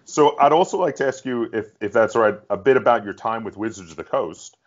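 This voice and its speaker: A man's voice: fairly low pitch, animated, brisk, dull audio. A man hosting a casual interview, asking wordy, roundabout questions. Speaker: interviewer, show host.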